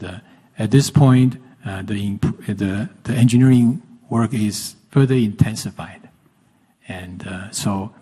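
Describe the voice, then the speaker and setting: A male company executive giving unscripted answers on an earnings call, halting and careful, repeating words as he goes. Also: mid-range voice, mellow, slightly rough. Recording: echoey hall-like conference call sound.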